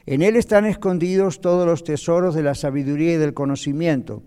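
A man leads a church Bible class, chatting with the room and pausing to ask if everyone follows before he reads the passage aloud. His voice sits fairly high yet sounds mellow, and a long hall reverb trails behind each phrase. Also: clear, resonant tone. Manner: conversational, checks in with "¿ok?". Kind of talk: Bible class teaching and scripture reading.

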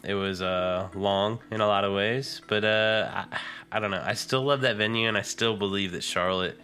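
A man's voice: deep voice, sharp tone. A man co-hosting a podcast in casual, dude-peppered chat, sounding nasal and congested from a cold. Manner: informal, peppers talk with "dude". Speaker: podcast co-host. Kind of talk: casual chat about motorcycle racing.

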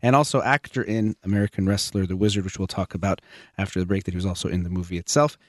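A man hosting a radio interview show as a psychologist, brisk and attentive, giving frequent short backchannels. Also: low voice, steady tone, no room sound, studio quality.

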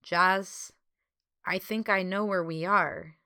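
A woman's voice: lowish voice, steady tone, takes it slowly, bright, forceful tone, clear, resonant tone, no room sound, clean studio sound, exaggerated voice. A woman voicing a thoughtful, hesitant schoolboy who explains earnestly in a storybook. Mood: puzzled.

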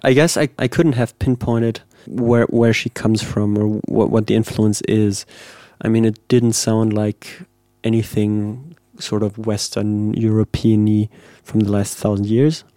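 A man, a composer, giving thoughtful, measured interview answers and searching for the right words. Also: on the low side, fairly even intonation, dark tone, no room sound, studio quality. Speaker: composer guest.

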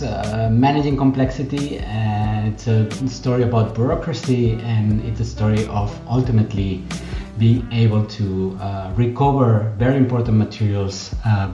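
Low, mellow-voiced male podcast host. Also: reverberant, highs cut off, faint music behind. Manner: unhurried, with frequent fillers. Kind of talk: podcast interview.

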